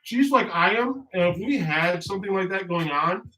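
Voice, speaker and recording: A man chatting in a loose, rambling way, his voice sitting a little high for a man. He talks candidly and jokes around. Clear music plays steadily under his voice. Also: expressive, moderate pace, sharp tone, rough recording. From Michigan. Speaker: co-host of a couple's channel. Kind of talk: casual chat on a live stream.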